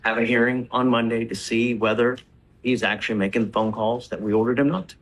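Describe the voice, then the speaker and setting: An American man presiding as a judge in court. His voice is mid-pitched and noticeably husky. He lays down orders in a stern, blunt, emphatic way. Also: steady tone, brisk, a bit bright. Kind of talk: courtroom proceedings from the bench.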